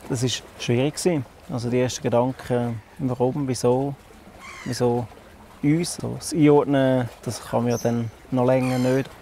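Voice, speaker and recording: A man in his thirties, originally from Italy, with a Swiss German accent, speaking slowly and thoughtfully in an outdoor interview. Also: mid-range voice, fairly even intonation, a little hiss or hum. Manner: slow, thoughtful, quiet. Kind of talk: personal interview. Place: outdoors on a bench. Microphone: lapel.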